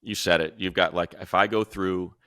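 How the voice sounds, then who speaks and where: A man's voice: low voice, fairly even intonation, normal speed, pushed and bright, no room sound, clean studio sound. A male radio sports announcer co-hosting a casual football podcast, chatty and rattling off long lists of player names. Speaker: radio play-by-play announcer, show co-host.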